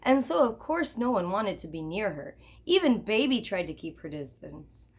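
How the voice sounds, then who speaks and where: A woman's voice: medium pitch, big pitch swings, moderate pace, slightly rough. A woman teacher reading a children's picture book aloud expressively, with chatty asides to her listeners.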